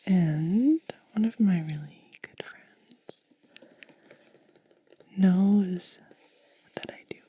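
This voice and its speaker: A woman hosting ASMR, speaking softly in a breathy, hushed whisper and lingering over short phrases. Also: fairly low pitch, drawn-out words, pauses often. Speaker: ASMR video host. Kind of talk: soft-spoken ASMR.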